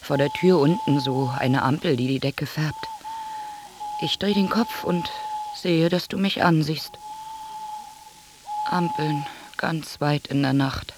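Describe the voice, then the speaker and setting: An actor playing a weary, disenchanted lover in an intimate radio drama monologue. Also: male voice, a little high, takes it slowly, noisy background. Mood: melancholy, resigned. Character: weary, disenchanted lover recalling a last night together.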